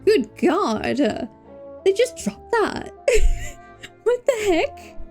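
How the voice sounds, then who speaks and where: A woman livestreaming a game, chatting casually and playfully with expressive exclamations and silly filler words, game music behind her. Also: very high voice, very slow. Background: faint video game music.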